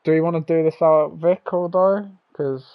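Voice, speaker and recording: Hoarse young man calling a race. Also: fairly high pitch, fairly even intonation, moderate pace, phone-quality sound. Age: teens. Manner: shouts calls at the finish, then reads results and chats loosely with fillers. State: hoarse, voice nearly gone. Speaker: race commentator and stream host. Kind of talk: excited sim-racing commentary and casual chat.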